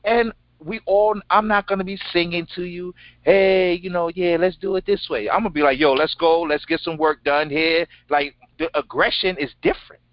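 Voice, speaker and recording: A middle-aged man with a deep voice co-hosting a casual show, talking in an emphatic, slangy way and repeating his phrases. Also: steady tone, normal speed, few pauses, no room sound, dull audio. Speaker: show co-host. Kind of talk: casual, unscripted conversation.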